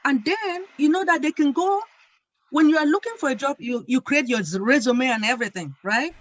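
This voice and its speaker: A woman's voice: high-pitched, brisk. A woman calling into an online meeting, emphatic as she shares ideas and gives thanks. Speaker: caller. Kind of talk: casual online meeting chat. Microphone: video call. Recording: dull lo-fi call audio.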